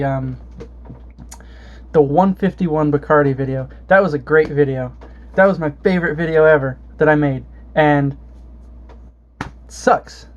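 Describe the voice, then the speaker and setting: A young man from Florida chatting casually as a vlogger, rambling and swearing with lots of fillers and restarts. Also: fairly high pitch. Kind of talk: casual chat. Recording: dull lo-fi home recording.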